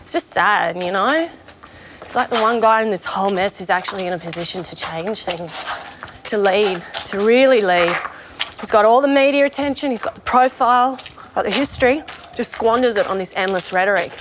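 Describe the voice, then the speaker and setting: A woman's voice: medium pitch, a little fast, noisy background, natural voice. A woman playing a jaded, opinionated vegetarian zombie in a comedy film, talking in a sardonic, sweary, conversational rant. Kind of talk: naturalistic short-film comedy acting, mock interview.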